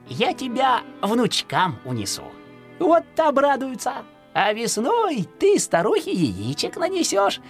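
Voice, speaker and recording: An actor playing a stooped, folksy old peasant hunter in a children's audio play, grumbling yet kindly and muttering to himself. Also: male voice, sits high, exaggerated voice.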